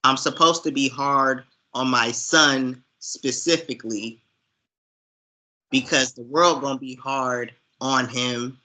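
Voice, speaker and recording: A man with a forceful, bright voice at a middle pitch talks through a film as a co-host. He takes his time, sounding earnest and opinionated, and leans on his points with emphasis. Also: steady tone, slow pace, poor audio. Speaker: podcast co-host discussing films. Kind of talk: conversational film commentary.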